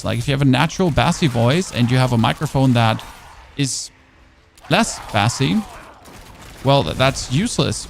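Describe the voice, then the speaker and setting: A man's voice: medium pitch, creaky, a little hiss or hum. A man streaming a game in casual chat, rambling and answering chat questions on a dynamic studio microphone. His first language is German.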